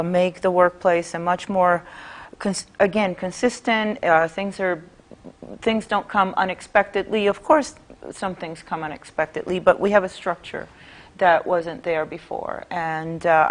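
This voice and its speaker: Measured woman from Puerto Rico. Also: lowish voice. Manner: measured, with frequent "uh" pauses. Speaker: union member guest. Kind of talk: studio interview.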